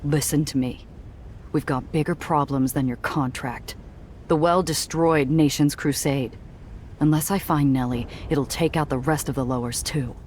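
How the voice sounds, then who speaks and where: Noir game lead, played by a woman: extremely low female register, gritty and defiant, dramatic first-person narration. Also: steady tone, moderate pace, a little mellow, a little hiss or hum, close to an everyday voice. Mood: urgent, defiant. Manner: hardboiled, dramatic delivery.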